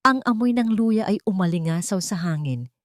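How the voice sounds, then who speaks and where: A woman with a Filipino accent narrating a scripted romantic drama story in a dramatic, measured storytelling manner. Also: medium pitch, animated, no echo, clean studio sound.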